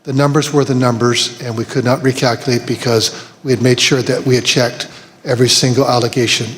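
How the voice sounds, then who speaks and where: A man's voice: mid-range voice, level tone, fairly quick, a bit bright, slight background noise. A man giving testimony at a hearing, answering firmly and methodically, listing figures one by one. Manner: firm, methodical, lists figures. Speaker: testifying official. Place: large hearing room. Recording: long hall reverb with faint ambience.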